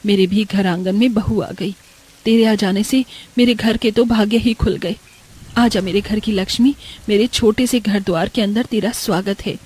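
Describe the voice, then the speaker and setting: A woman's voice: mid-range voice, normal speed, a little mellow, breathy edge, natural voice. A woman voicing an affectionate, homely older mother-in-law from a poor village household. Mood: joyful welcome.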